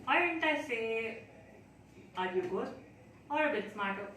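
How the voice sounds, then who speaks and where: Woman acting as nervous chatty little kid, high voice, theatrical monologue, kinda far from the mic in a big echoey room. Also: animated, fairly bright tone. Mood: hushed, wondering. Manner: dramatic, talking to oneself, deliberate pauses. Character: anxious, chatty, naive child, Billy, writing to God. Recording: very echoey room, distant mic, faint noise.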